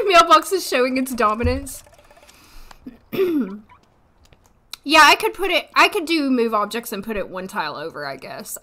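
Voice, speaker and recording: A woman in her thirties, hoarse today, chatting casually and thinking aloud as she streams a life-sim game from a desk at home. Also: high-pitched, pushed and bright. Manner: chatty, thinking aloud, frequent little laughs. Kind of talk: casual gameplay commentary. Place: home room, seated at desk.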